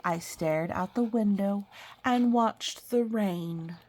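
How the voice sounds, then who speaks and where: A woman's voice: mid-range voice, slow pace, darkish tone. A woman reading a picture book aloud as a storyteller, expressively, with chatty, explanatory asides for young listeners.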